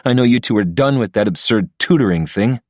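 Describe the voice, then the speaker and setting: A man's voice: fairly low pitch, lively intonation, fairly quick, no echo, clean studio sound, pitched above the performer's own voice. A man voicing a smug, pompous young man who sneers and blusters at his rival. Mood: sneering. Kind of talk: audiobook character voice.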